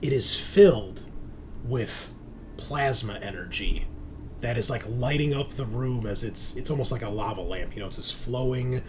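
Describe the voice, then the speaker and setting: A man's voice: medium pitch, normal speed, crowd noise. A man running a tabletop sci-fi game as game master, describing scenes conversationally and correcting himself as he improvises.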